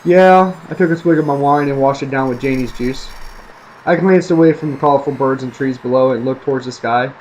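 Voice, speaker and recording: A man's voice: mid-range voice, steady tone, a little fast, a little mellow, rough recording. A man reading a creepy story aloud as scripted narration for online listeners. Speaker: storyteller, online video creator. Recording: faint background noise.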